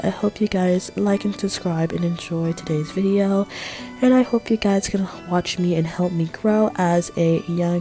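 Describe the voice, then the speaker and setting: A teenage girl with a raspy voice from a cold, chatting casually in a rambling voice-over with apologetic asides. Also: lowish voice, dark tone. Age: teens. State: hoarse from a cold. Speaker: art vlogger.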